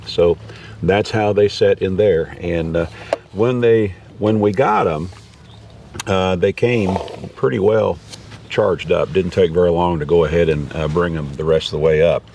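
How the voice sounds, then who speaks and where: Low-voiced man in a casual product demo. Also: measured pace, gravelly, darkish tone. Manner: folksy, rambling. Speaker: video host reviewing gear. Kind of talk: casual unscripted product demonstration. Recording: distant mic, background noise.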